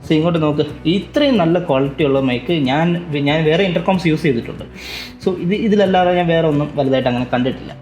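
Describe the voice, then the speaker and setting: Guy doing a casual unboxing, talks fast n repeats himself, bit of music under it. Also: a little high, a little mellow, highs cut off. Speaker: tech vlogger and product reviewer. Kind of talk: casual unboxing review to camera.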